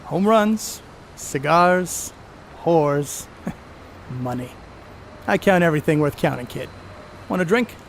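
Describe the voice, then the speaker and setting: A man plays a hard-living, jovial sports star in a period radio play. His voice sits fairly high and he talks in boastful, folksy slang. A faint outdoor traffic noise runs behind him. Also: animated, slow, creaky, long pauses, full character voice. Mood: gruff, teasing. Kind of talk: audio drama acting, 1930s setting.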